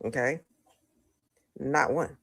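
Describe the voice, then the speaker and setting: A woman in a response video, speaking in a measured, firm way. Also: a bass voice, gravelly, a bit bright, no echo, studio quality. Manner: measured, firm, repeats "okay". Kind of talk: social media response video.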